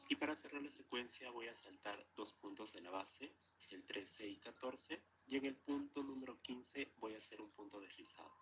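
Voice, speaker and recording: A man's voice: mid-range voice, fairly quick, a bit bright. A man narrating craft instructions step by step, as an instructor. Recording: clear background noise, room ambience.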